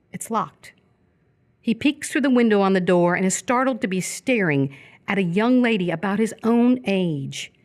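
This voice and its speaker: Low-voiced woman narrating radio theatre. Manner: measured, descriptive reading.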